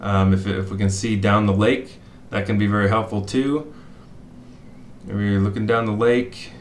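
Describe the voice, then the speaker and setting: A middle-aged man explains his search step by step, thinking aloud and pausing on fillers. His voice sits fairly low and rings in a roomy, echoey small room. He sounds like he is talking into a desk mic at a computer. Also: steady tone, slight background noise. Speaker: fishing spot analyst. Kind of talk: screen-share walkthrough.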